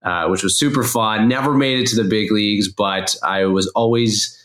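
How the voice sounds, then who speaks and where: A Canadian man with a fairly low voice, chatting informally as a podcast guest. He is talkative and conversational, and the room echoes noticeably around him. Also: normal speed, fairly bright tone. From Toronto, Canada. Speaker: podcast guest, company co-founder. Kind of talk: casual conversational interview.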